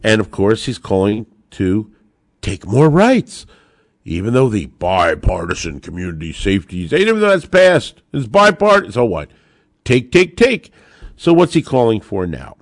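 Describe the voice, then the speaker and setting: A man with a low voice that swings widely as he speaks. He delivers opinionated political commentary as a podcast host, emphatic and sarcastic, hammering on repeated words. The sound is dry and close, with no room around it. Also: big pitch swings, normal speed, no echo.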